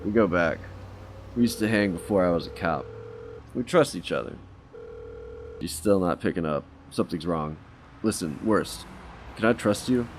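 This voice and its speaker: Deep male voice, gruff driven cop, terse and insistent, crime radio drama, noisy ambient background. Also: animated, normal speed, long pauses. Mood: worried, insistent. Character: gruff, driven, foul-mouthed male police detective. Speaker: voice actor. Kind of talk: crime audio drama dialogue.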